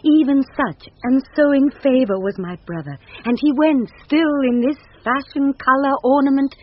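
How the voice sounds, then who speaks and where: A woman's voice: high-pitched, normal speed, close to an everyday voice. An actress playing an anxious young noblewoman disguised as a youth, speaking earnest formal verse in a classic Shakespearean radio drama. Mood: hopeful wonder. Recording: dull, band-limited 1970s radio recording.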